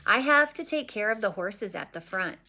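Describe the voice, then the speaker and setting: A woman's voice: mid-range voice, expressive, normal speed, sharp tone. A woman voicing an earnest, tender young soldier in a children's storybook.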